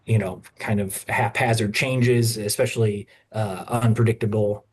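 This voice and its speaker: A man giving spontaneous interview remarks with halting phrasing. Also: fairly low pitch, fairly even intonation, a little mellow, slight background noise.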